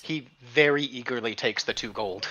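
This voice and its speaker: A man's voice: mid-range voice, moderate pace, pushed and bright, dull audio, soft background music. A man improvising narration as game master of a tabletop game, thinking aloud and rephrasing as he describes.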